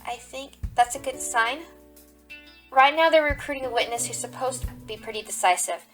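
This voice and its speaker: High-pitched earnest rabbit lawyer played by a woman, echoey. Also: normal speed, fairly bright tone, far from the mic. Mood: downbeat. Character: young female rabbit police officer turned defense lawyer, earnest, stressed. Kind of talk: fan audio drama acting. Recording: echoey, off mic, music underneath.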